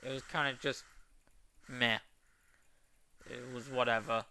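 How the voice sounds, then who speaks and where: A man giving a casual review, unhurried and trailing off into fillers as he weighs his opinions. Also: mid-range voice, flat delivery, vocal fry, pushed and bright, clean tone. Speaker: wrestling show reviewer.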